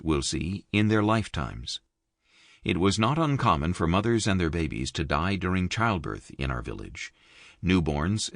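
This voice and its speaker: A man reading an audiobook in a measured, expressive studio narration. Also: no room sound. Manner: measured, expressive reading with wide pitch movement. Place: dry recording booth. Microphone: close studio mic.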